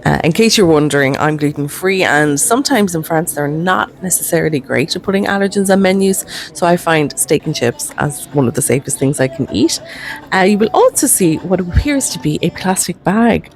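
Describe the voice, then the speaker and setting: A woman's voice: fairly low pitch, expressive, normal speed, poor audio. A woman giving a casual, chatty travel voice-over, explaining things as she goes. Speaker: travel vlogger. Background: background music with guitar.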